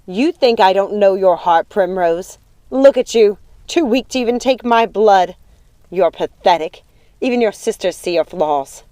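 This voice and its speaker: A cruel witch mother from a dark storybook, as a woman narrator acts her out. She is imperious and possessive, speaking with theatrical scorn and an air of total command. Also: fairly low pitch, lively intonation, normal speed, natural voice. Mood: contemptuous. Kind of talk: audiobook character acting.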